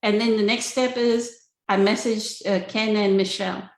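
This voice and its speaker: A woman's voice: medium pitch, fairly even intonation, normal speed. A woman hosting an online spiritual question and answer session, speaking conversationally and earnestly, often checking in with her listeners. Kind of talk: spiritual talk, conversational teaching. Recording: echoey, roomy sound.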